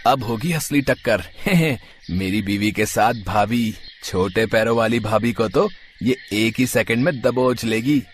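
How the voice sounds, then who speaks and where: A man's voice: mid-range voice, a little slow, a little hiss or hum, close to an everyday voice. Man acting a mocking, boastful young brother. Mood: gloating. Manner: teasing, gloating.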